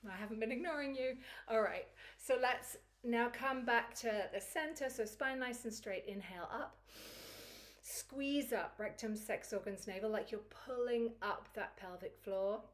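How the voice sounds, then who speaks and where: A woman with a croaky, recovering voice teaching a Kundalini yoga routine in a chatty, encouraging way. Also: on the high side, unhurried. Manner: chatty and encouraging, laughs easily. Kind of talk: guided yoga class instruction.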